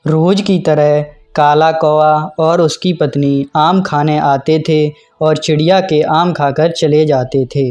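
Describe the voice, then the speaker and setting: A man's voice: on the high side, steady tone, slow pace, hardly any pauses, darkish tone, faint music behind. A man narrating a scripted children's cartoon story.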